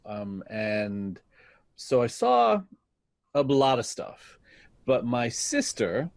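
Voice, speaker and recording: A middle-aged American man telling stories about his life in a reflective interview, speaking in a deliberate, halting way. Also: mid-range voice, sing-song, creaky, a little mellow, dry recording. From United States, Detroit area. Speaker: guest, stage actor.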